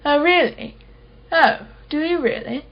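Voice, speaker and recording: Radio drama casting: wealthy female collector played by a woman, higher-pitched, imperious, sardonic and sceptical, slightly muffled recording. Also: a little slow. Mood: sceptical, mocking. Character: haughty, sharp-tongued wealthy female art collector, sceptical. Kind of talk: radio play acting.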